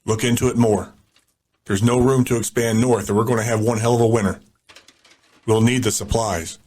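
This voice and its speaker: A man's voice: mid-range voice, steady tone, normal speed, a little mellow, natural voice. A man playing a calculating warlord in an audio drama, giving orders in a curt, commanding way.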